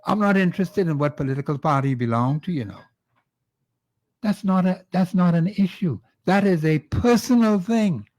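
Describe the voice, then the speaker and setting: A man's voice: on the high side, a little mellow. A man with a Bahamian accent hosting a call-in radio talk show, exhorting listeners and taking calls. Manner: fiery, exhorting, repeats phone number slowly.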